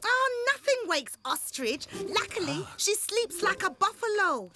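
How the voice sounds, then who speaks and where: Squeaky-high bossy cartoon spider. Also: female voice, sing-song, a little slow, background noise, heavily acted. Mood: scheming. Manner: exclamatory, bossy, exasperated. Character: bossy, scheming spider with a sly streak. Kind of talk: children's cartoon voice acting.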